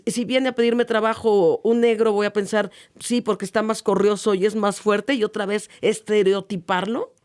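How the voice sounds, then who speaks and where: A woman hosts an interview program in a medium-pitched voice. She frames topics and questions in a measured, presenter-like way. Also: a little mellow. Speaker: host.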